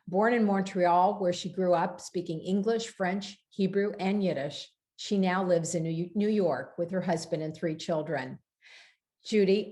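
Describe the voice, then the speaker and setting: A woman hosting a virtual bookstore event, reading a prepared introduction in a measured, formal way over a video call. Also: lowish voice, darkish tone.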